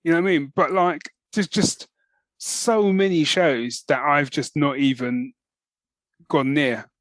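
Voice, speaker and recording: A man's voice: fairly high pitch, steady tone, measured pace, fairly bright tone, dry recording. A man co-hosting a podcast in casual conversation, thinking aloud as he goes in a slightly hesitant way. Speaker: podcast co-host. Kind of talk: casual chat.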